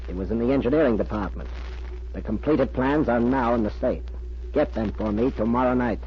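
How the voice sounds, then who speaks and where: An actor playing a cold, domineering spy in an old-time radio drama, on a muffled vintage recording. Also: male voice, mid-range voice, brisk, slight background noise, lightly acted. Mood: commanding, menacing. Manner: curt, domineering. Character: cold, commanding male spy pressuring a young clerk.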